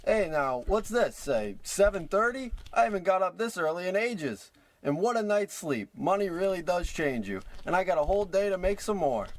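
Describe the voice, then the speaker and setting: A man's voice: high-pitched, dry recording. A man playing a brash, scheming small-time American huckster with slangy old-timey patter in a parody radio play.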